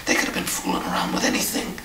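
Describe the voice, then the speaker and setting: A man acting in an audio drama as an uneasy small-town veteran, confiding in a halting, hushed way. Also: lightly acted. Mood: speculating. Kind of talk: naturalistic radio drama acting. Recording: hissy, roomy, distant tape.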